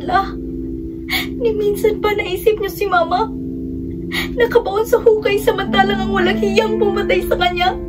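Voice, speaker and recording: A woman plays a young activist daughter demanding justice in a Filipino radio play. Her very high voice is impassioned and pleading. She sounds distant from the mic, with loud music behind her. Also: fairly even intonation, normal speed, pauses often. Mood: tearful, bitter. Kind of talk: Filipino radio drama acting.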